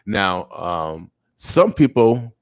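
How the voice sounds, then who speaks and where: A man in his fifties with a low voice, recorded dry and close, hosts a radio interview. He speaks in a halting way, restarting his phrases often before reaching the question. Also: very animated, no room sound. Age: 50s. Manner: halting, many fillers and restarts, affirming. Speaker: radio host.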